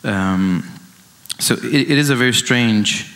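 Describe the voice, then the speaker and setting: A man in his late twenties from Iran giving an informal, reflective artist talk, halting and circling back over his phrases. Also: mid-range voice, a bit bright, rough recording. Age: late 20s. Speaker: dance artist, panelist. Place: indoor event stage, amplified.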